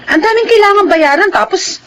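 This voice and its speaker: A woman's voice: extremely high pitch, animated, fairly quick, a bit bright. An actress playing a weary, frustrated wife and mother struggling with poverty in a radio-style drama. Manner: complaining, exasperated.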